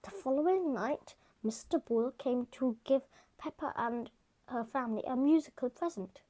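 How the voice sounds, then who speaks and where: A woman reading a children's picture book aloud, gentle and soft-spoken, sometimes dropping to a whisper. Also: sits high, moderate pace. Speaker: storybook reader. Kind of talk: children's read-aloud narration.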